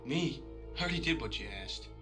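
An earnest young everyman hero voiced by an actor in a video game. His mid-range voice is hesitant yet quick, animated in pitch, with loud music behind it. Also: natural voice. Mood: protesting. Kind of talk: cinematic video game acting.

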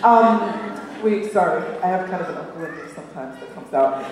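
A woman's voice: on the low side, fairly quick, a little hiss or hum. Chatty woman writer in an echoing hall. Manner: chatty, animated, self-deprecating. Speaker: panel guest, writer. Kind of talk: casual panel chat. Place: large auditorium stage. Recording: echoey hall from far off.

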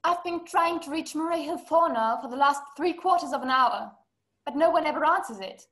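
A high-pitched young woman playing an anxious, housebound wife in a drama. She is fretful and indignant, fragile yet demanding, and complains in formal phrases. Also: brisk, bright, forceful tone. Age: young adult. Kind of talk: stage-style dramatic acting. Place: small room at home. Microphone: laptop on video call.

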